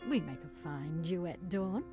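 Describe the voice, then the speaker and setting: An actress voicing a soft-spoken game character, a kind woman coaxing her newly adopted cat with reassurance. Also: low-pitched, expressive, a little slow, faint music behind. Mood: reassuring. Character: gentle adult woman adopting a shy cat.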